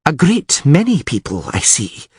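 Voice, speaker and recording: A man's voice: on the high side, huge pitch swings, a little slow, husky, no room sound, clean studio sound, pitched above the performer's own voice, heavily acted. A man voicing a proud, fierce middle-aged queen who speaks in a drawling, defiant way.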